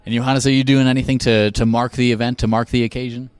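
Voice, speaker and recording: A man presents a radio feature and asks his guests questions in a calm, even manner. His mid-pitched voice sounds close and dry. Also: fast talker, smooth, no room sound. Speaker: radio reporter. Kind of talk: scripted radio narration and interviewing.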